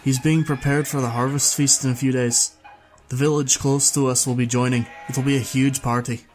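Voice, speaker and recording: A man's voice: medium pitch, flat delivery, brisk, faint music behind, pitched above the performer's own voice, natural voice. A man voicing a tall, obliging young stablehand in an audiobook story, with a plain, helpful manner. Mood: friendly, helpful.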